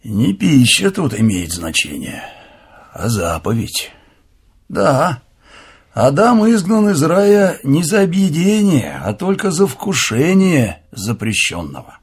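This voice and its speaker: An actor playing a gentle, witty old monk in a radio play, telling folksy lessons in a husky, creaky voice. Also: male voice, fairly high pitch, very animated, takes it slowly, full character voice. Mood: gently instructive. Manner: folksy, teasing, humble, instructive. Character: gentle, witty old monk elder, ailing but cheerful. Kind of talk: Russian radio drama acting, telling parables.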